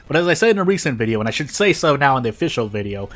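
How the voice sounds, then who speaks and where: A man's voice: mid-range voice, sing-song, racing, a bit bright. A man giving casual gameplay commentary in a rambling, self-interrupting, self-deprecating way, often losing his train of thought. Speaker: video creator and gamer.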